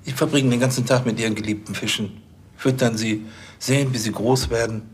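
An actor playing a fussy, self-satisfied police officer, hesitant and pedantic, in a TV crime comedy. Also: male voice, medium pitch, fairly even intonation, a little fast, husky. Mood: smug. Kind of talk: television crime comedy acting.

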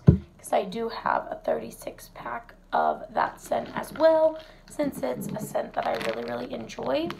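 A hoarse woman chatting casually through a product review vlog in her home kitchen, recorded on a phone camera set on the table. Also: a little high, background noise. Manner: chatty, relaxed, many fillers. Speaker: wax melt reviewer.

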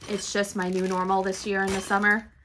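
A woman, chatting casually in a home craft room, slightly raspy and congested from allergies, clearing her throat now and then. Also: mid-range voice, flat delivery, a little fast, fairly bright tone, slight background noise. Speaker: reseller vlogger. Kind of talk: casual shop-with-me haul chat.

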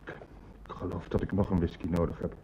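An actor playing a courteous, troubled senior official in a radio play, speaking formally and hesitantly. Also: male voice, low voice, little pitch movement, fast talker, dark tone, natural voice. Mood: shaken, troubled. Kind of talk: Dutch radio drama acting. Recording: dull, noisy old radio recording.

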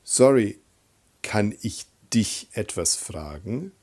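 Slow, low-voiced man teaching, slightly husky. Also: lively intonation, a little mellow. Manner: deliberate, instructional, repeats sentences. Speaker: German language teacher. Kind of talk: scripted grammar drill, reading example sentences.

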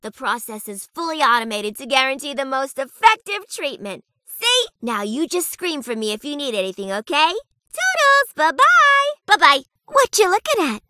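Bright, high-voiced, sinister reaper nurse. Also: female voice, big pitch swings, moderate pace, no echo, clean studio sound, exaggerated voice. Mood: perky, teasing. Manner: sugary bedside politeness laced with menace. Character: perky, sinister female reaper nurse, sweetly menacing. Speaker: video game villain. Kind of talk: theatrical video game character acting.

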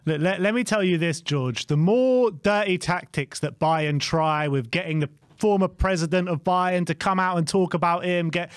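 High pitched guy bantering hard, dry close mic sound. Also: normal speed, few pauses, dry recording. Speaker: fan show host. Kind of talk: football fan discussion.

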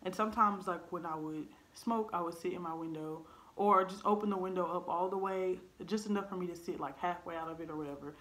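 A woman's voice: lowish voice, a little fast, fairly bright tone. Earnest young woman opening up on camera. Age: 20s. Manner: earnest, blunt and reflective, with frequent ums and likes. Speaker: vlogger. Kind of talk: candid personal storytelling to camera. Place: living room at home. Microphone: camera in front at desk height.

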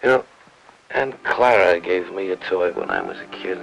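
An actor playing a bedridden, menacingly possessive husband in a 1950s film melodrama, speaking slowly and haltingly in a hush. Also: male voice, sits low, gravelly, poor audio. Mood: wistful, intense. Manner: slow, halting, hushed, often near a whisper. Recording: old film soundtrack, music behind.

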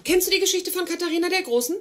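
An actress playing a blunt, socially awkward neurobiologist with formal, academic phrasing in a dubbed sitcom. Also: female voice, extremely high pitch, rapid-fire, fairly bright tone. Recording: noisy sitcom soundtrack.

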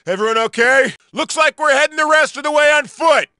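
An actor playing an American squad leader in a war game, giving calm commands. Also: male voice, extremely high pitch, fairly quick, dry recording. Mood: commanding. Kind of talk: game battle dialogue.

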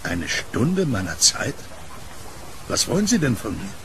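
Blind kiosk vendor, war veteran: gentle, lively range, gravelly edge, noisy outdoor ambience, horror radio play. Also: male voice, mid-range voice, unhurried, full character voice. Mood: hesitant. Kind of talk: audio drama acting.

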